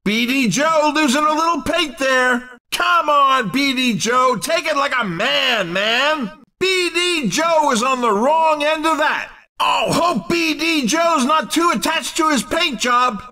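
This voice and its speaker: An actor voicing an excitable, showy racing game commentator with a shouted, punchy delivery. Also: male voice, very high-pitched, slow pace, distant mic, exaggerated voice. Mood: excited, teasing. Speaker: race commentator.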